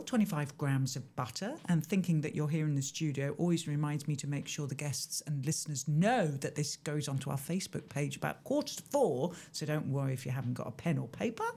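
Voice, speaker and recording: A woman hosts a radio show from the studio and talks through a recipe in a chatty, playful way. Her voice sits a little low, and her pitch rises and falls a lot as she talks. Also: expressive, fairly quick, a little mellow. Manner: chatty and playful, laughs often. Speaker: radio presenter. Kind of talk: casual radio chat reading a recipe.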